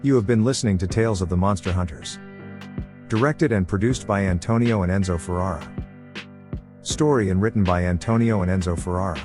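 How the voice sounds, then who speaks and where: A man's voice: low-pitched, fairly quick, darkish tone. A man reading scripted credits as the announcer of an audio drama. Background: music.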